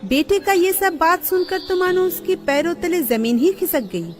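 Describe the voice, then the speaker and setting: A woman narrating a scripted moral story in an expressive storybook delivery. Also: very high voice, normal speed. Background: background music.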